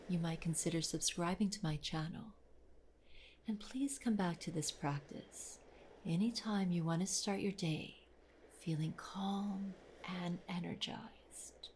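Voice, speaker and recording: A woman leading a guided meditation in a soft, soothing, breathy voice with gentle pauses. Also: lowish voice, a little hiss or hum. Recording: voice over clear wave sounds. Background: ocean waves.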